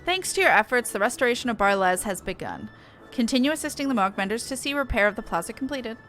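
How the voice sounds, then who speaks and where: Bright, high-voiced woman game streamer. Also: big pitch swings, quick pace, faint music behind. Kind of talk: casual gameplay commentary, reading game text aloud.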